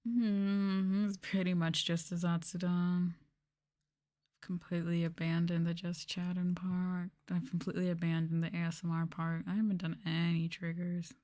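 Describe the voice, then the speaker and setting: A woman's voice: lowish voice, little pitch movement, moderate pace, mellow. A sleepy woman chatting casually and informally as a livestreamer, with a drowsy manner and sighs. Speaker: livestreamer, VTuber. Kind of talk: sleepy casual chat on an ASMR livestream, reading and answering viewers.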